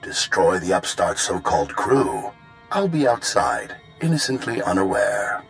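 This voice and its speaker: A smug bounty hunter villain from a sci-fi game. The voice is low and theatrical, articulate and full of self-regard, over quiet music. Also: male voice, sing-song, unhurried, creaky, exaggerated voice. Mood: coolly menacing. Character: pompous, gloating male Mandalorian bounty hunter villain. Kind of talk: video game voice acting.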